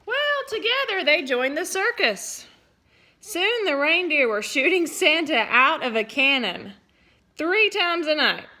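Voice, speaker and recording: A woman teacher reads a storybook aloud to young listeners, her voice bright and fairly high. She is expressive throughout and drops in playful asides as she goes. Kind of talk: storybook read-aloud for children.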